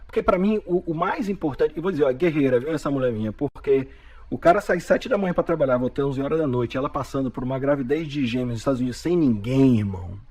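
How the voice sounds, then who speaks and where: A man's voice: mid-range voice, fast. A man from Bahia in his forties with a Bahian Brazilian accent, a guest telling a story animatedly and repeating his words often. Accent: Bahian Brazilian Portuguese. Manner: animated storyteller with frequent repetitions. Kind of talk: storytelling in a podcast interview.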